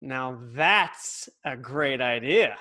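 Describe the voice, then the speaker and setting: Bratty boy, slow and animated. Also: mid-range voice, full character voice. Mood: cheeky. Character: bratty, excitable young boy. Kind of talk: comedy script table read. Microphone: computer on video call. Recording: video call audio.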